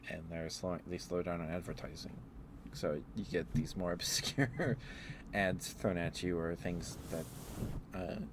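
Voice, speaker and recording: Male ASMR streamer, low-pitched, casual and rambling, with noticeable background noise. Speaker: livestreamer.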